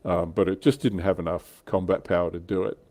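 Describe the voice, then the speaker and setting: A man's voice: low voice, flat delivery, brisk, dark tone, slight background noise. A man hosting a livestream, explaining history in an informal, enthusiastic, chatty way. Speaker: livestream host. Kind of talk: casual explanatory history chat.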